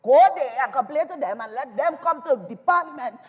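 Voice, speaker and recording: A woman's voice: high-pitched, lively intonation, brisk. A woman acting an indignant, scornful Trinidadian character in a live stage drama, confronting with rhetorical questions in a Trinidadian accent.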